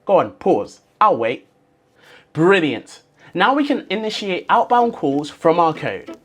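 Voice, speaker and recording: Casting: tutorial presenter, medium pitch, highly animated intonation, scripted step-by-step instruction aimed straight at the viewer. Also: a little slow. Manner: instructional, clearly signposted, addresses the viewer directly. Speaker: host of a programming tutorial.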